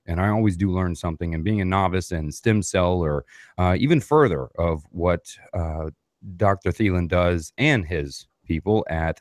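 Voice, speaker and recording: A man with a very low voice hosts a casual podcast, wandering through his thoughts and tossing in "man" often. The sound is dry and close, with no room around it. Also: no echo. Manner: rambling, says "man" a lot. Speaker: podcast host. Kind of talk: casual interview.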